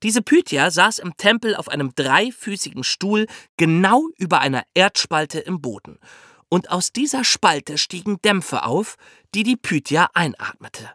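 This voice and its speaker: A man's voice: sits high, very animated, unhurried, sharp tone, no room sound, clean studio sound. A man co-hosting a history podcast, explaining things in a colloquial, storytelling way.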